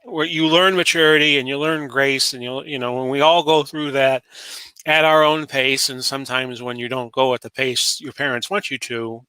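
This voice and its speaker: A man in his late forties from Florida hosts a solo talk show, rambling casually with plenty of fillers and false starts. His voice has a bright, forceful edge and sits at an ordinary pitch. The sound is dry and close, with almost no room around it. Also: steady tone, normal speed, clear, resonant tone, dry recording, low-quality recording. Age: 40s. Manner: rambling, frequent fillers and restarts. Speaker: podcast host.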